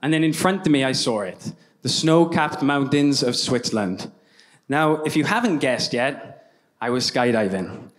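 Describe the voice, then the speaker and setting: A man's voice: mid-range voice, unhurried. A young man with a Welsh accent telling stories with self-deprecating humor in a keynote talk on a conference stage, speaking into a handheld mic. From Wales. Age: young adult. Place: large conference hall stage.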